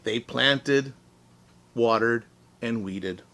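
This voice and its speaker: Slow, bright-voiced male storybook reader. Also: medium pitch. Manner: deliberate, explains simply to children. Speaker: teacher reading stories to young children. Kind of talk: children's storybook read-aloud and simple lesson.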